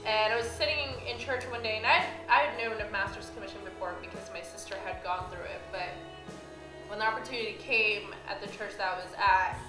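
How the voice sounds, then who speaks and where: A woman's voice: medium pitch, a little fast. A young woman from the Philippines shares her personal testimony in an earnest, reflective way. Her voice sits in a roomy space. Music plays steadily behind her. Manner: earnest, reflective, with light laughs. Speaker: church member telling her story.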